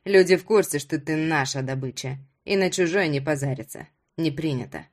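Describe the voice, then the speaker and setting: A woman voicing a gruff, curt old fisherman grandfather in an audiobook story. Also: deep voice, normal speed, pitched below the performer's own voice. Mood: mocking, firm. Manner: curt, grumbling.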